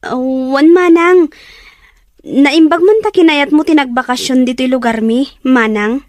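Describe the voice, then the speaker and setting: Actress as a high-voiced, curious Ilocano younger sister. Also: female voice, unhurried, dry recording. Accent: Filipino, Ilocano. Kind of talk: Ilocano radio drama acting.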